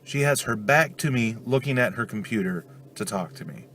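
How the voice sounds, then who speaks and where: A man's voice: mid-range voice, lively intonation, moderate pace, a bit bright, slight background noise. A man with a stuffed-up cold talking casually in a daily vlog, venting his frustration. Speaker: vlogger.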